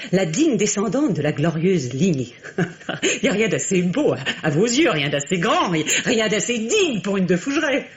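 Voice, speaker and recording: A bitter, theatrical middle-aged wife in a radio play. Her husky voice swoops widely as she halts and repeats herself. The audio is slightly dull. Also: on the low side, exaggerated voice. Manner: halting, repeating words, emotionally volatile. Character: bitter, grey-haired, unhappy wife, trapped and theatrical. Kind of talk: French radio drama acting.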